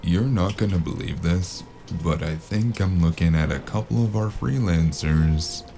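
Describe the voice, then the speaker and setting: Very deep, gravelly noir investigator. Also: male voice, measured pace, dark tone, soft background music. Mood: hushed, casual. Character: brooding male noir investigator, narrating his own story. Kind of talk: scripted noir audio drama narration.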